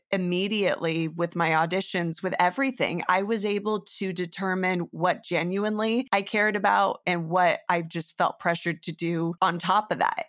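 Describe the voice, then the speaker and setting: A woman's voice: lowish voice, a bit bright, no echo, clean studio sound. An American woman talking earnestly and reflectively as a guest in an interview. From Northern California, United States. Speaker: guest, voice actor and coach.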